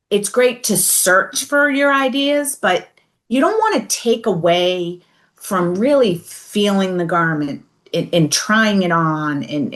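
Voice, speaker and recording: A woman's voice: mid-range voice, a bit bright. A woman with a Boston accent, a columnist guest in a casual roundtable, chatty and full of "like" and quoted asides. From USA.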